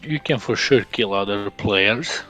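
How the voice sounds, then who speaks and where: A man from Romania answers viewer questions on a livestream in a loose, casual way, with a few fillers. His voice sits on the lower side. Soft music plays faintly behind. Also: a little slow, low-quality recording. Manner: casual, laughs often, some fillers.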